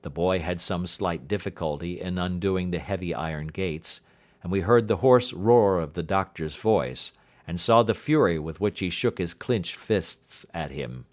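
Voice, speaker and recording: A man's voice: sits low, unhurried. A man reading scripted literary narration as an audiobook narrator.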